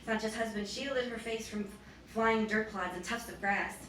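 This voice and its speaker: A young woman, an author giving an expressive reading of her novel at a bookstore event. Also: on the high side, moderate pace. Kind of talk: expressive public book reading. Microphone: boom-stand mic at lectern, distant camera. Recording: roomy, distant, noisy bookstore recording.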